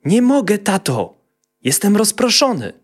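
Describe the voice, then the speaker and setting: A man voicing a cheeky, excitable, easily distracted young half-dwarf boy in a children's fairy tale. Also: sits high, a little slow, slightly rough, voice pushed up, exaggerated voice. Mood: whiny, defensive.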